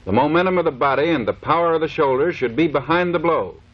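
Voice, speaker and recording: A man's voice: fairly high pitch, lively intonation, quick pace. An American man giving formal, clipped, scripted instructional narration in the manner of a 1930s military training film. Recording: dull vintage 1930s film soundtrack.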